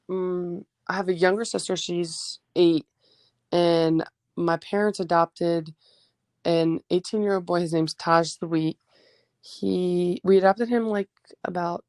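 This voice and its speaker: A teenage girl from New Jersey, a high school basketball player, answering interview questions casually with many fillers; her first language was Greek. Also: no room sound. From New Jersey, USA. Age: teens.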